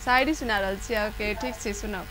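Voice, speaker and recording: A woman's voice: mid-range voice, steady tone, normal speed, over music, rough recording. A woman with a cold hosting a live call-in show, chatting with callers. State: congested from a cold. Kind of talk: live phone-in chat with callers.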